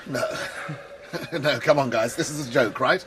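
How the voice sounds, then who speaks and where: A man's voice: medium pitch, moderate pace, natural voice. An actor playing a wry, weary doctor at a polar base in a radio drama, grumbling as he talks. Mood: disbelieving. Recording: clearly noisy background.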